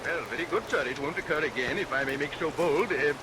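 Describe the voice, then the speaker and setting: An actor playing a greedy, murderous London barber, oily and menacing, in old-time melodramatic radio style. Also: male voice, fairly high pitch, quick pace, natural voice. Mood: oily, fawning. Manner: theatrical, swings from fawning to furious snapping. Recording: noisy vintage radio recording, rain over it. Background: steady rain.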